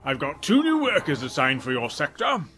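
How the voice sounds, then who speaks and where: A man playing a sardonic, coolly menacing former high priest in an audio drama, with ominous, theatrical phrasing. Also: huge pitch swings, moderate pace, background noise. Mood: cool, official.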